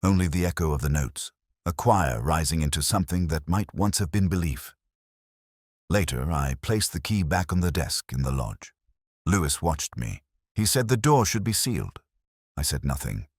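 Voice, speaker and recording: A man plays a world-weary detective in an audio drama, recalling a case in measured, literary prose. His voice is extremely low and husky. He sounds solemn and reflective, with pitch that rises and falls freely. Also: lively intonation, darkish tone, dry recording, studio quality, exaggerated voice. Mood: melancholy, resigned. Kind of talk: literary first-person scripted narration.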